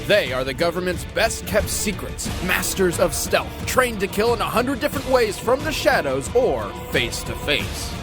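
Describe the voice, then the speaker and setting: A man's voice: high voice, big pitch swings, normal speed, few pauses, over loud music. A man hosting a game analysis show, reading dramatic, explanatory scripted narration.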